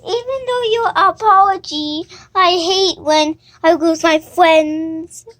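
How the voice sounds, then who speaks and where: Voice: extremely high pitch, slow pace, fairly bright tone, exaggerated voice. A young female amateur voicing a hot-tempered, melodramatic cartoon girl critter who threatens and declares hate. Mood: grieving, vengeful. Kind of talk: amateur character voice acting.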